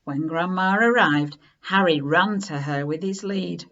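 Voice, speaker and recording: A woman reading a children's story aloud in an expressive, unhurried way with dramatic emphasis. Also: lowish voice, muffled recording.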